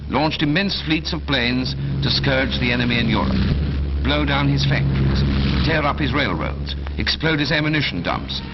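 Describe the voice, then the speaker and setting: A man reading scripted wartime newsreel narration from the 1940s in a solemn, emphatic, dramatic manner with measured phrases. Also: medium pitch, expressive, heavy background noise. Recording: dull vintage 1940s film soundtrack.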